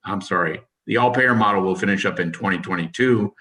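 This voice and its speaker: A man giving a formal policy briefing as a government official, halting often and with a voice that is starting to give out. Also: sits low, sharp tone, far from the mic. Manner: halting, hesitant delivery. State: losing his voice.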